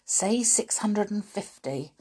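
A woman voicing a mischievous but helpful young schoolboy in a storybook, earnest and quick to plead or prompt. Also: a little high, a little mellow, voice pushed up. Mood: sly, prompting. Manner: pleading, whispering to classmates. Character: mischievous, helpful young schoolboy pupil, apologetic under threat.

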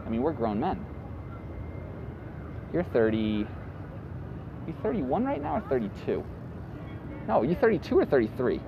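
Animated rambling man, traffic noise. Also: medium pitch, measured pace, long pauses, dull audio. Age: late 20s. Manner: rambling, profane, animated storyteller. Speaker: podcast host. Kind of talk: casual rambling monologue. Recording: loud street traffic noise.

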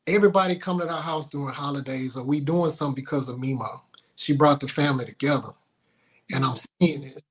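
A man telling personal stories casually as an interview guest, in an informal, halting, colloquial way. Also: medium pitch, pushed and bright, slight background noise. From Houston, Texas, United States.

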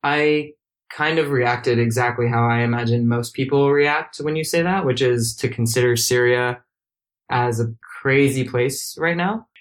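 A man hosting a podcast interview in a hotel room, asking friendly, measured questions. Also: medium pitch. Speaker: podcast host.

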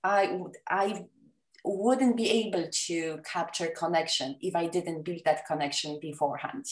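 A woman's voice: fairly low pitch, normal speed, recorded from a distance. A woman from Poland with a Polish accent, a wedding photographer chatting enthusiastically as a podcast guest, with many fillers and restarts. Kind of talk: casual interview chat. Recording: echoey room, off the mic, faint music.

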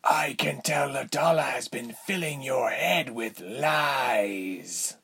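A man voicing a condescending villain lord in a fantasy story, measured and smooth in manner with a breathy, husky tone. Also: medium pitch, a bit bright, voice pushed up, exaggerated voice. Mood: coolly scornful. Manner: measured, silky, haughty.

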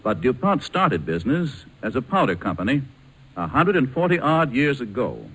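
Expressive 1940s American radio announcer man. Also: medium pitch, normal speed, slightly rough, poor audio. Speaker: radio announcer and sponsor spokesman. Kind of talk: scripted 1940s radio commercial narration.

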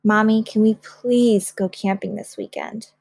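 A woman's voice: mid-range voice, fairly even intonation, a little slow, vocal fry, darkish tone, no echo. A woman voicing an eager, pleading young girl in a children's storybook. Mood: sad, pleading. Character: eager young girl, upset then thrilled.

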